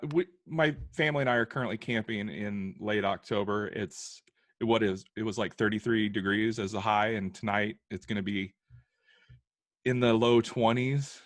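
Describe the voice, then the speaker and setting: A man's voice: lowish voice, steady tone, moderate pace, no echo. A man co-hosting a casual podcast, rambling and chuckling between phrases, wired on coffee. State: hopped up on coffee. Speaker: podcast co-host. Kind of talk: casual chat.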